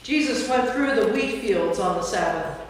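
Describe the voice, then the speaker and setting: Woman narrator reading bible story, measured, far from the mic in a big boomy church. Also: expressive, slight background noise. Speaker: narrator, Episcopal priest. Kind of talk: scripted narration of a staged scripture reading. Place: large church interior. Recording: very echoey church, distant mic.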